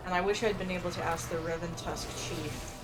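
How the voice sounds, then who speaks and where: A woman's voice: lowish voice, steady tone, a little fast, low-quality recording. A woman giving casual gameplay commentary as a streamer, chatty and thinking aloud. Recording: loud game music behind voice. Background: video game music.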